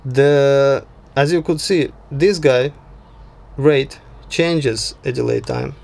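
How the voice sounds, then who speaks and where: A man narrating an unscripted software tutorial as the tutor, talking haltingly and often checking in with a short tag word. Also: medium pitch, creaky. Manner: halting, frequent "yeah" check-ins. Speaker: sound design tutor.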